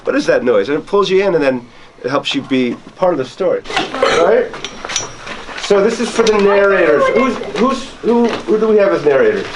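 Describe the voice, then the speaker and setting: A man's voice: high-pitched, animated, normal speed, background noise. A man casually explaining things conversationally as a radio station tour guide. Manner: conversational, illustrative. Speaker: radio station staffer guiding a student tour.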